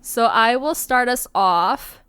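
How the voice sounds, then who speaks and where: A woman's voice: a little high, lively intonation, slow, a bit bright, clean tone, no room sound. A woman hosting a podcast in a casual, chatty style. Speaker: host of a fiction podcast, cast member.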